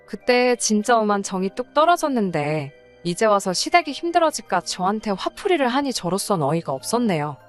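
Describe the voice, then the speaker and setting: A woman narrates a scripted personal story in the first person. Her pitch sits in a middle range for a woman and moves in an animated, lively way. Faint music plays behind her voice. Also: fairly quick, few pauses.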